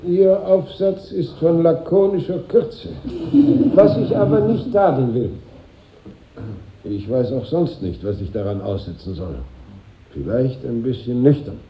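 Exacting schoolmaster, measured and pedantic, vintage radio drama sound with audible room echo. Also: male voice, medium pitch, expressive. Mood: dry, critical. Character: exacting schoolmaster handing back a class essay. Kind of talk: 1950s German radio drama acting. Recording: muffled, roomy old radio recording.

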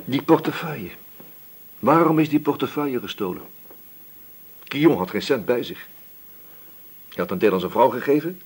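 Shrewd, husky police inspector, animated pitch. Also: male voice. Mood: pensive, suspicious. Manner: brisk, questioning, authoritative. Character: shrewd, probing, sceptical male police inspector. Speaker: actor in a radio play. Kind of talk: Dutch radio drama acting.

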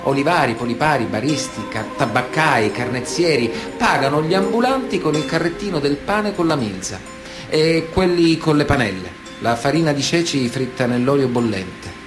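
An actor playing a matter-of-fact, chilling Sicilian man of honour in a radio theatre monologue, with a Sicilian accent and a deliberate, theatrical way of talking. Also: a little high, expressive, over music, lightly acted. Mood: matter-of-fact, explanatory. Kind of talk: dramatic radio monologue, conversational storytelling.

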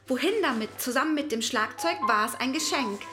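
A woman giving a performed poetry reading, with deliberate, theatrical delivery and crude slang. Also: sits high, animated. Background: music.

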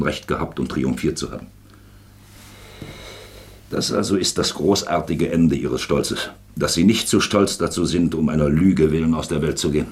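An actor playing a shrewd, patient magistrate in a radio play, speaking deliberately and probingly with a fatherly air. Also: extremely low pitch, darkish tone. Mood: grave, insistent. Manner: deliberate, probing, pausing for weight. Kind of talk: German radio play acting.

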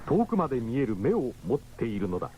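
A man's voice: medium pitch, big pitch swings, a little fast, noisy background, natural voice. A man narrating a 1970s science-fiction radio drama in a grave, declamatory manner. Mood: grave, dramatic. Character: solemn storyteller of a heroic sci-fi tale. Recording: dull 1979 radio recording. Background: dramatic music.

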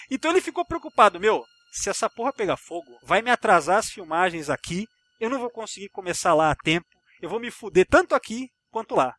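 High-pitched, animated Brazilian man chatting casually. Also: no room sound. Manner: chatty, slangy, rambling, swears freely. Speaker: podcast host. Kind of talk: casual film discussion and anecdotes among friends.

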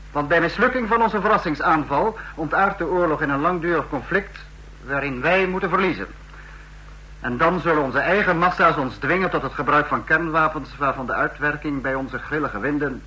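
An actor playing a stern dictator in a radio play, speaking in formal, commanding, measured oratory. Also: male voice, a little high, sharp tone, low-quality recording. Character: stern male dictator of a fascist state.

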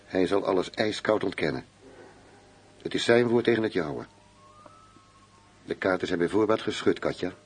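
An actor playing a wry, weary, middle-aged undercover police commissioner in a radio drama, speaking in a measured, dry way. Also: male voice, sits low, husky. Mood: suspicious, dry. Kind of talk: Dutch radio drama acting. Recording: noisy radio play recording.

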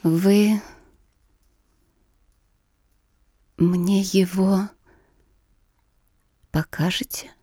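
An actress playing a reserved, grieving young noblewoman in an audio drama, speaking in a hushed, hesitant way. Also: mid-range voice, fairly even intonation, extremely slow, slightly rough, no echo, clean studio sound. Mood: quiet, restrained. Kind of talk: Russian literary audio drama acting.

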